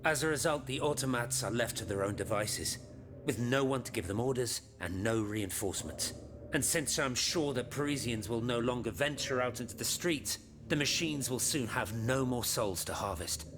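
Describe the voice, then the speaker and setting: An actor playing an authoritative eighteenth-century statesman in a video game, formal and measured. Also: medium pitch, animated, noisy background, natural voice. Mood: grave, resolute. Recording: music behind the voice.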